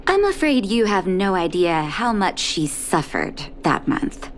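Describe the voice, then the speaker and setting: An actress playing a weary, caring carer of children in a video game, measured and gently scolding, exasperated yet fond. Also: female voice, on the low side, big pitch swings, a little hiss or hum. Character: weary, caring adult woman, doctor and orphanage carer. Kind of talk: video game character acting.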